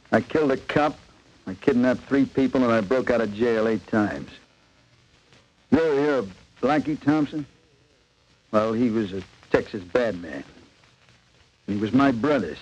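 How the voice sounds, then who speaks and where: An actor playing a vengeful outlaw and cop killer in a 1940s radio drama, talking in tough gangster slang. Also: male voice, medium pitch, measured pace, long pauses, dull audio, lightly acted. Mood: menacing, boastful.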